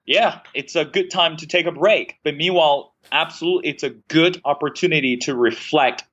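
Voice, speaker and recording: Interview guest, man from Beijing, slightly high voice, thoughtful, lo-fi remote audio. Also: moderate pace, fairly bright tone. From Beijing, China. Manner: upbeat, thoughtful. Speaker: guest, former journalist. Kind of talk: interview answers.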